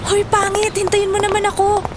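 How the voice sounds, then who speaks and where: Casting: actress as brash young Filipino-accented student friend, extremely high voice, teasing banter, slight noise. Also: female voice, completely flat delivery, a little fast. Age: young adult. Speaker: voice actor. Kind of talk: Tagalog audio drama acting, banter. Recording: faint background noise.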